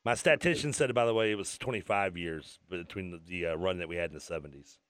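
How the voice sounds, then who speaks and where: A man's voice: low-pitched, quick pace, a bit bright, no echo, clean studio sound. A man co-hosting a radio sports talk show, joking and rambling with frequent stumbles and restarts.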